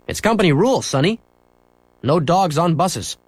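Children's-story bus driver, voiced by a man: firm, no-nonsense, slightly raised animated voice. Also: on the high side, normal speed, no room sound, pitched above the performer's own voice. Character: firm male bus driver enforcing company rules.

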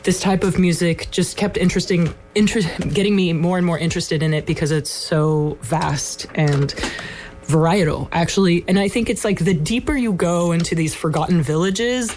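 A woman's voice: on the low side, moderate pace, darkish tone, slight background noise. A woman from Ukraine, a band member, chatting casually about her band's music with lots of fillers like "like" and "um". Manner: rambling, many fillers like "like" and "um". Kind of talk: casual on-air chat.

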